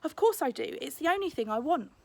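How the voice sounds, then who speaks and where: A woman's voice: high voice, lively intonation, quick pace, vocal fry, no room sound, voice pushed up. A woman voicing an eager, bold young girl apprentice, earnest and inquisitive, in a storybook. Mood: determined.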